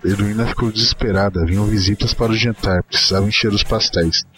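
A man's voice: fairly low pitch, fairly even intonation, quick pace, a bit bright. A Brazilian man giving a dramatic reading of a short story as its narrator. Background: music.

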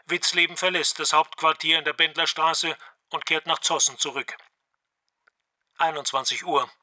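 Bright, high-voiced male narrator. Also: little pitch movement, moderate pace. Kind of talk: scripted radio drama narration.

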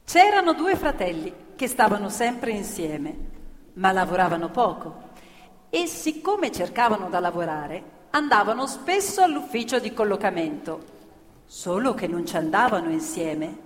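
A woman's voice: mid-range voice, very animated, normal speed, close to an everyday voice. An actress playing an earnest working-class woman, reciting and telling fables theatrically. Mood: wry storytelling. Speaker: stage actor and narrator. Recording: live hall with long reverb, music. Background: prominent live music.

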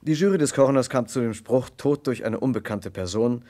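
An actor playing an earnest, gallant gentleman who narrates and investigates in a German radio crime drama, with a formal, polite manner. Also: medium pitch, a little fast, close to an everyday voice. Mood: grave narration.

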